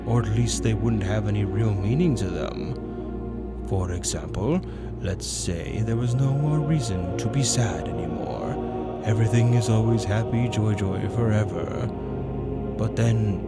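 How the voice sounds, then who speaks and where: A man voicing a grandiose avian demon tempter in a dark fantasy roleplay monologue, lecturing persuasively with theatrical, drawn-out emphasis over gothic music. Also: on the low side. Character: male avian demon arch-tempter, grandiose philosophical seducer. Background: loud dark gothic music.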